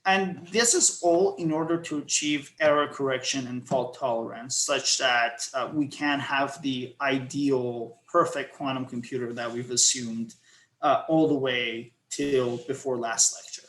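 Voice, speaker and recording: A man's voice: medium pitch, steady tone, unhurried, fairly bright tone. Man, online lecture, hesitant, lots of fillers, echoey room, video call. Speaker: guest lecturer. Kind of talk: online lecture on quantum hardware. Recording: roomy echo.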